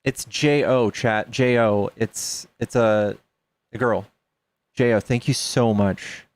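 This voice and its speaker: A man's voice: mid-range voice, steady tone, slow pace, dry recording. Dry-miked thirties man chatting with stream. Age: 30s. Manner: chatty, reads donations aloud, jokes with chat. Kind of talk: casual livestream chat.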